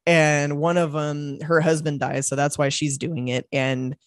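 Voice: medium pitch, little pitch movement, unhurried, no room sound, studio quality. A podcast co-host in casual film chat, rambling and laughing often. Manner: rambling, laughs often, acts out movie quotes.

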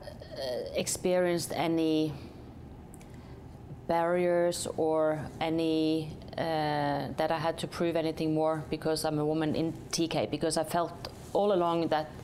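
A woman's voice: low-pitched, little pitch movement, a little slow, darkish tone, a little hiss or hum. A woman from Norway, a company chief executive, answering interview questions thoughtfully with many hesitations and restarts.

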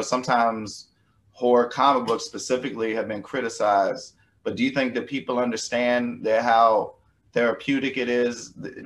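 A man hosting a casual interview, stammering and circling his way toward each question in a halting manner. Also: mid-range voice. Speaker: interview show host.

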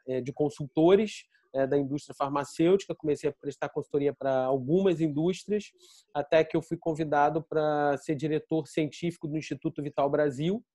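A man's voice: on the high side, dark tone, dry recording, studio quality. A middle-aged Brazilian man with a Carioca accent, giving a conversational self-introduction as a panel guest. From Rio de Janeiro, Brazil. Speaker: panel guest, scientist and pharmaceutical innovation director.

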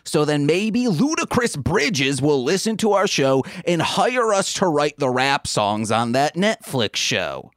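Man, podcast co-host, jokey, lively pitch, slow, dry sound. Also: no echo. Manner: jokey, animated. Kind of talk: casual podcast chat.

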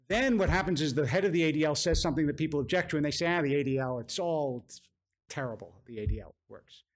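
An American man, a rabbi answering audience questions in a measured, careful way, often qualifying and repeating phrases for emphasis. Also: on the high side. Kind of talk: thoughtful public question-and-answer talk.

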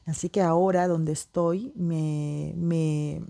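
Low, mellow-voiced woman musing. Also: fairly even intonation, slow, vocal fry. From Peruvian Amazon. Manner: meandering, many restarts and fillers. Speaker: vlogger. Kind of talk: casual reflective monologue.

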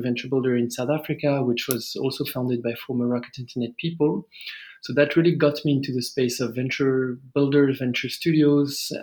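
A man with a French accent talks through his work in a measured, reflective way. He pauses often with small fillers and restarts. His voice sits at an ordinary middle pitch. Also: a little mellow. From France. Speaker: guest, startup founder. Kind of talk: podcast interview over a call.

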